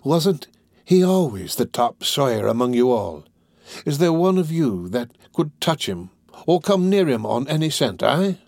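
A man plays a crafty old master of thieves from a storybook. He coaxes and wheedles, stretching his words out, and can turn sharp-tempered. His pitch swoops about in a close, dry recording. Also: expressive, measured pace, vocal fry, no room sound. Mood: angry. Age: older. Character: wily old male criminal gang master, wheedling, quick-tempered.

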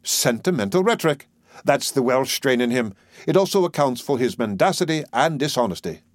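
A man voicing an imperious, excitable professor in a play reading, declamatory and overbearing. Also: mid-range voice, brisk, dry recording, studio quality, pitched above the performer's own voice, natural voice. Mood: amused, scornful. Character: imperious, excitable male professor, scornful and bossy.

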